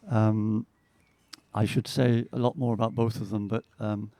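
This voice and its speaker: A middle-aged or older man hosts a poetry reading in a halting, informal way. His voice is gravelly and mellow. The sound is quite dry and close. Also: on the low side, flat delivery, no room sound. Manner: hesitant, halting. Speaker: event host introducing poets. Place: outdoors at a gallery event.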